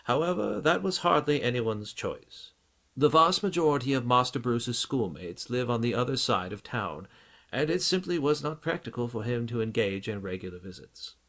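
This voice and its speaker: A man voicing a dutiful, concerned butler in an audiobook, speaking in a formal, measured and politely reserved way. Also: mid-range voice, lively intonation, fairly bright tone, voice pushed up. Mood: guarded. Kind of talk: audiobook character acting.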